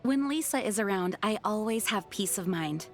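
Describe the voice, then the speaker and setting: A woman's voice: mid-range voice, lively intonation, moderate pace, soft background music, lightly acted. A woman playing a dutiful, earnest young knight in a video game, speaking formally and with composure. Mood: warm, reflective. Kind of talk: video game character voice lines.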